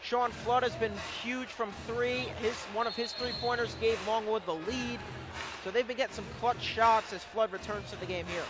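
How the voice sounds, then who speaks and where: A man's voice: very high-pitched, steady tone, normal speed. A man working as color commentator on a live basketball broadcast, adding conversational analysis and player statistics. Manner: conversational, stat-citing asides. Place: indoor basketball arena.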